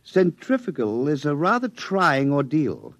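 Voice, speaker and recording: A man playing a stern, condescending military psychologist in a radio drama, speaking in a measured, formal way. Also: on the high side, sing-song, no echo. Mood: cool, cautioning. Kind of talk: 1950s radio drama acting.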